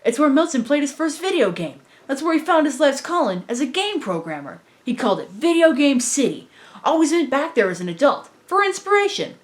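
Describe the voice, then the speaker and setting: A woman's voice: high voice, sing-song, brisk, pitched above the performer's own voice. A woman voicing a guarded, streetwise man in a casual manner, in a cartoon reading. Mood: confiding. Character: streetwise adult man, guarded old friend with a secret.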